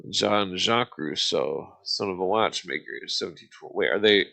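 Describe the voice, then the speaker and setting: A man reading aloud casually on a live stream, halting and stumbling over formal text, with muttered asides. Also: deep voice, vocal fry, sharp tone.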